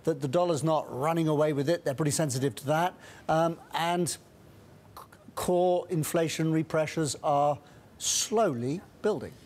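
A middle-aged man speaking as a guest strategist in a TV studio interview on a lapel mic, brisk, with frequent restarts and fillers. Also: fairly high pitch, a little mellow.

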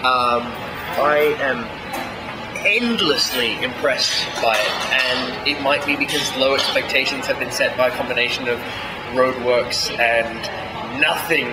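A man's voice: mid-range voice, measured pace, recorded from a distance, over loud music, poor audio. A young man in his twenties vlogging a road trip, chatting in a rambling, wry and exasperated way, full of fillers, with a handheld camera at arm's length. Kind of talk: casual travel vlog. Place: outdoors, in a car and in a large empty eatery. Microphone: handheld camera held at arm's length.

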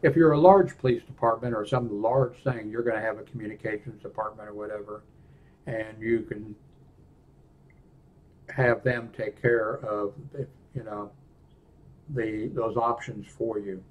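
Older american guy showing off gear at his desk, kinda low voice, rambles and trails off. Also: steady tone, lo-fi sound. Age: 60s or older. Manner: halting, rambling, often trailing off. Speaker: presenter of a radio equipment review. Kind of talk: unscripted product walkthrough. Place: small indoor room at a desk.

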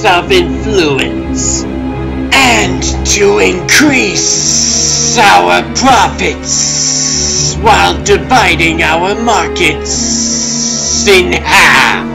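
Reptilian cartoon villain, scheming, hissing, creaky voice, extremely slow, loud music behind. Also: male voice, high voice, lively intonation, full character voice. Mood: smug scheming. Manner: slow, drawn-out, menacing. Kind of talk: comic dub villain acting.

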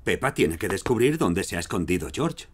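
Lively male cartoon narrator from a Castilian dub. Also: sits low, brisk. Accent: Castilian Spanish. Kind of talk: scripted children's cartoon narration. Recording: music behind the voice.

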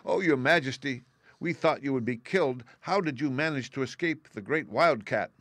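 A man's voice: high-pitched, lively intonation, a little slow, a bit bright, dry recording, pitched above the performer's own voice, exaggerated voice. A man voicing small, loyal field mice in a storybook, eager and deferential. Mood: anxious. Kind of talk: audiobook character voice.

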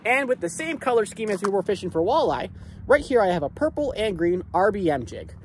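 Man, young, high lively voice, excited fishing chatter, outdoor river noise, action camera. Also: a little fast. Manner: excited outbursts when fish are hooked. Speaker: fishing video host. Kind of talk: casual fishing vlog with gear talk. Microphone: action camera worn on the body.

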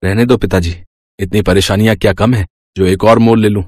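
A man playing a dutiful, weary young farmer son in a cartoon village story, soft-spoken and mildly complaining. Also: fairly low pitch, fairly even intonation, a little fast, no room sound, studio quality, close to an everyday voice. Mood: reluctant. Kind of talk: cartoon moral story dialogue.

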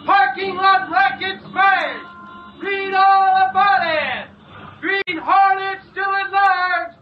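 A shrill newsboy crier. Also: male voice, steady tone. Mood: urgent, hawking. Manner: drawn-out shouted calls. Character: young newsboy hawking extra papers, loud, urgent. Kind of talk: singsong street crier, radio drama. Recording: muffled, echoing, distant old radio broadcast. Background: music.

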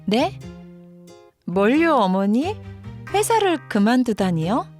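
A woman's voice: on the high side, very animated, slow pace, a little mellow. A woman playing a practical working wife and daughter-in-law, pointed and matter-of-fact, in a dramatized story video. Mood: taken aback. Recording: music behind the voice.

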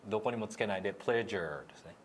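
A man's voice: on the low side, quick pace, a little hiss or hum. A man working as an English pronunciation instructor, coaching step by step with frequent encouraging feedback in an indoor meeting room.

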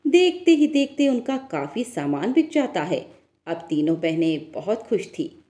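A woman's voice: medium pitch, big pitch swings, unhurried, mellow. A woman narrator telling a scripted moral story in a storytelling style.

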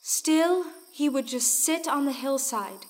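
A woman narrating a folktale audio play with deliberate, dramatic storytelling. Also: high-pitched, lively intonation. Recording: music under the voice.

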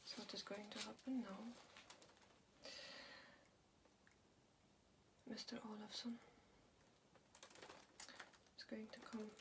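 A young woman playing a guarded, terse Swedish hacker in a soft, breathy, often whispered ASMR roleplay. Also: medium pitch, little pitch movement, moderate pace, natural voice. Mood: watchful, businesslike. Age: young adult. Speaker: ASMR role-play performer. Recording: loud background noise, layered clicking sounds. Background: loud active background noise with clicks.

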